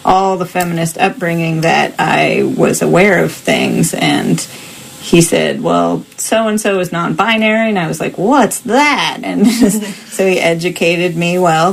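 A woman telling a personal story in a recorded piece, slow and reflective. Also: fairly low pitch, gravelly, poor audio.

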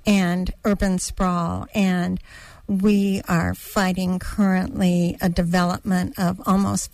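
A woman co-hosting a radio talk show, speaking deliberately with pauses and fillers. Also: fairly low pitch, creaky, a little mellow, dry recording, rough recording. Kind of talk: casual radio chat.

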